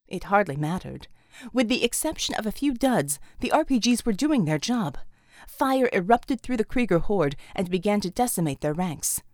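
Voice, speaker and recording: A woman's voice: fairly high pitch, a little mellow, no room sound, clean studio sound. A woman reading scripted action fiction as a dramatic audiobook narrator.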